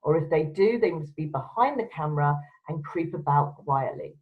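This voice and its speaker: A woman's voice: low-pitched, expressive, moderate pace. A woman presenter giving instructional advice to camera in a firm, direct, emphatic way. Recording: dull, lo-fi sound.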